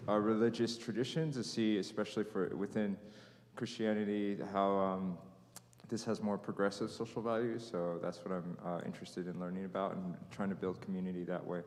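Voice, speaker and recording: A man's voice: deep voice, steady tone, fairly quick, darkish tone. A man introducing himself in a class, hesitant and full of fillers. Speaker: class participant. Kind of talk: casual self-introduction. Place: church hall. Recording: long church-hall reverb.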